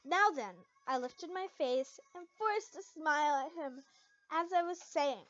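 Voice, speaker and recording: A woman's voice: sits high, unhurried, no room sound, dull audio. A woman reading a story aloud in a dramatic amateur style, sometimes stumbling over words and correcting herself. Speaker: fan fiction reader.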